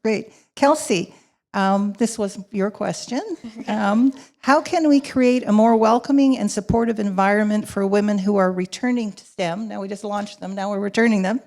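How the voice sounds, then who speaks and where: A woman's voice: mid-range voice, normal speed, a little mellow. Woman moderating a panel. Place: hotel ballroom stage. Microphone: handheld mic.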